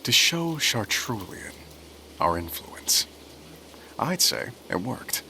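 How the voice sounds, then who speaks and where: An actor playing a wary, impatient propagandist in an audio drama, terse, skeptical and hushed. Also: male voice, mid-range voice, big pitch swings, slow, a little hiss or hum, heavily acted. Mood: defensive. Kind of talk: scripted audio drama dialogue.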